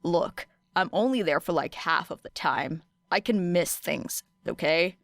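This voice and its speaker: A woman voicing a grumpy, sardonic teenage boy student with deadpan, grumbling delivery in an audiobook. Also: fairly low pitch, a little slow, no room sound, studio quality. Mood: defensive. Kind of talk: audiobook character dialogue.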